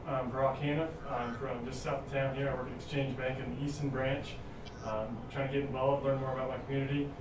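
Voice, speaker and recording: A man's voice: medium pitch, flat delivery, recorded from a distance. A man who works at a bank introducing himself casually at a meeting. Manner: quick, casual. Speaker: bank worker. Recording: very echoey room from afar, noise.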